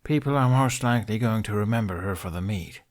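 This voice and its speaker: An earnest, cautious young man in a storybook tale, played by a male narrator. His voice is fairly low, with a dry and reassuring way of talking. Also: fairly quick, natural voice. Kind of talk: audiobook character voice.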